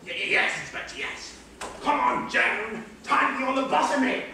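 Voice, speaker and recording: Man acting a creaky, halting old gentleman. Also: extremely high pitch. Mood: flustered. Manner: halting, stammering. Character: elderly dithering gentleman, anxious to confess. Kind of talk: amateur stage play acting. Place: large theatre stage, indoors. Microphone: far camera. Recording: echoey theatre from far off, noisy.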